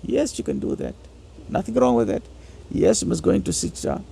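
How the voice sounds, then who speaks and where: A man with a raspy voice giving a motivational religious lecture, urging listeners emphatically and repeating phrases for stress. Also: mid-range voice, huge pitch swings, brisk, dark tone, a little hiss or hum. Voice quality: raspy, gravelly.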